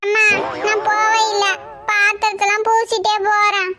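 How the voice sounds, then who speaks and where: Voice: extremely high pitch, monotone, normal speed, background noise. A performer voicing a whiny, obedient little girl in a family comedy skit. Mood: pleading. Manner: plaintive, childlike questioning and complaining.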